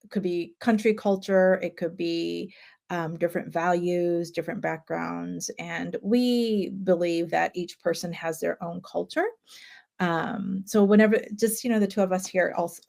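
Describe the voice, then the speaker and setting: A woman from Japan hosting a relaxed conversational chat, talking loosely with frequent ums. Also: fairly low pitch, creaky. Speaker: host.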